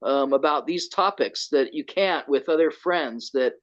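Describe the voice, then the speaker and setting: A man running a conference, talking excitedly and rambling with lots of restarts over a video call. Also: on the high side, a little slow. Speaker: conference organizer. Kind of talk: casual video call chat. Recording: dull lo-fi call audio.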